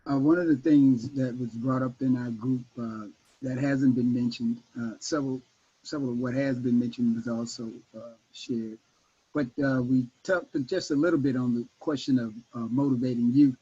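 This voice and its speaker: A man's voice: mid-range voice, fairly even intonation, moderate pace, dark tone, slightly rough. A man reporting back to an online group discussion in hesitant, roundabout phrases. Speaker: discussion participant. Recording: dull lo-fi online call audio.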